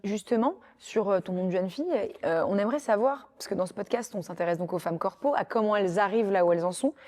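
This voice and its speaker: A young woman hosts a casual interview in a medium-pitched voice. She puts her questions informally and drops in short, approving remarks. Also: quick pace, a little mellow. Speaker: podcast host, interviewer.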